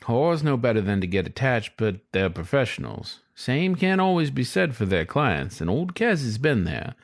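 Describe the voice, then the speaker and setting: A man voicing a folksy, philosophical old male coyote cab driver in an audiobook story, giving unhurried advice. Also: medium pitch, big pitch swings, dry recording, studio quality. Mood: sage, reassuring.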